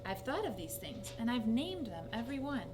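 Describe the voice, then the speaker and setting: A woman voicing a sweet, bright young boy in a children's story, gentle and cheerful. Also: a little high, normal speed, over music. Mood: confident. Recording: noisy recording with steady hum.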